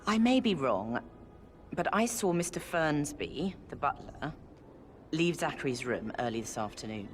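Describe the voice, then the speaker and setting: An actress playing a crisp, gossipy upper-class woman who sounds impatient, over game music. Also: low-pitched, very animated, measured pace. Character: brisk upper-class British woman, sharp-tongued sister. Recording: game audio with music behind.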